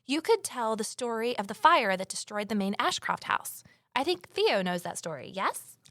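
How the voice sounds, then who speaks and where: An actress playing a determined, resourceful amateur sleuth in an audio drama, brisk and persuasive as she narrates and talks. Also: fairly high pitch, very animated, fairly bright tone, dry recording. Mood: eager, persuasive. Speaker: narrator and lead character.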